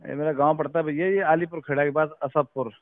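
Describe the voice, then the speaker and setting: A man's voice: fairly high pitch, fairly even intonation, fast, no room sound. A man talking casually on a phone call, deferential and full of questions. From Uttar Pradesh, India. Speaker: village head, caller. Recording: narrow, tinny recorded phone call.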